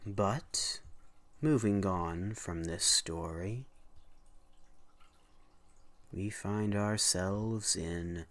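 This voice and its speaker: A man hosting and narrating horror story readings, with deliberate, halting phrasing that moves between casual introduction and dramatic reading. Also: deep voice, fairly bright tone.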